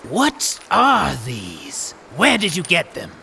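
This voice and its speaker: A menacing pirate captor acted out for an audio drama, very slow and gravelly. The pitch swings wildly, and clear ambient noise sits behind the voice. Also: huge pitch swings, sharp tone, full character voice. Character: menacing, easily hurt male pirate captor.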